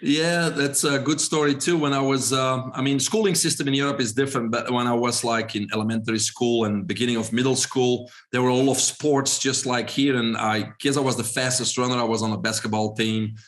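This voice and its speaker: A man's voice: mid-range voice, a little fast, few pauses. Belgian man telling his story. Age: 40s. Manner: relaxed, storytelling with frequent fillers. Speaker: guest. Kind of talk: podcast interview.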